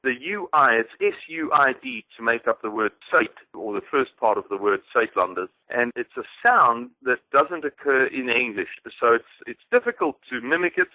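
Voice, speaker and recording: A man's voice: mid-range voice, lively intonation, normal speed, hardly any pauses, no room sound. A man in his forties with a South African accent, a guest talking formally and precisely in a phone interview. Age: 40s. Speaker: guest, activist. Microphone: telephone. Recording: dry, tinny phone line.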